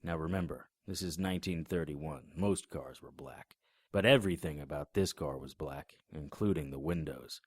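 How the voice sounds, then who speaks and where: An actor playing a serious, plain-spoken 1930s private detective in a noir audio drama. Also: male voice, very deep voice, normal speed, no room sound, clean studio sound. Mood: wry, reminiscing. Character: earnest, dutiful 1930s private detective, a man. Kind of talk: noir audio drama acting and first-person narration. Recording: music behind the voice.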